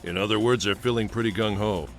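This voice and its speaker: A man's voice: on the low side, steady tone, fast talker, soft background music, lightly acted. A man playing a blunt special-ops agent in a video game, challenging and questioning what he is told. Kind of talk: English dub acting in a video game cutscene.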